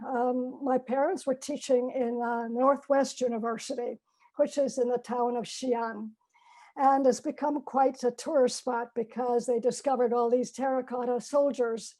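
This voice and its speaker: Older woman, high voice, warm mellow tone, reflective storytelling, frequent ums, video call mic. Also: low-quality recording. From China. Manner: gentle, many fillers and restarts. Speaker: professor giving a personal talk.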